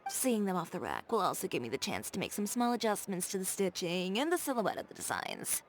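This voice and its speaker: A woman's voice: lowish voice, sing-song, fairly quick, very noisy. A woman voicing a composed, confident fashion designer in a video game, speaking in a cool, dry, self-assured way. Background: game music.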